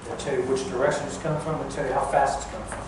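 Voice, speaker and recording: An older man gives an informal talk in a big, very echoey room, picked up from far off with clear background noise. His delivery is plain-spoken and halting, at a middling pitch. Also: flat delivery. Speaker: guest speaker, former air traffic controller. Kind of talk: informal public talk recounting career. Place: large echoey museum room.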